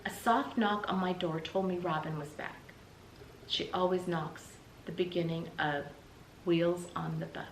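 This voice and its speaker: A woman's voice: on the low side, steady tone, unhurried, distant mic. A woman with an American accent reading a children's book aloud as a teacher would. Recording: roomy, noticeable background hiss.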